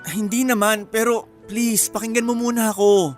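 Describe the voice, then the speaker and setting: A man's voice: high-pitched, fairly even intonation, moderate pace, lightly acted. An actor, a man, playing a flustered young cheating boyfriend who pleads and fumbles excuses in a radio drama. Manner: whining, stammering excuses. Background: faint background music.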